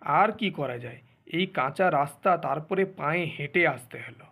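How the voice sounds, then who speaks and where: A man's voice: fairly high pitch, normal speed, vocal fry, bright, forceful tone, exaggerated voice. A man voicing a boastful, lazy husband from a faded landlord family in a Bengali cartoon, with a pompous, bragging manner.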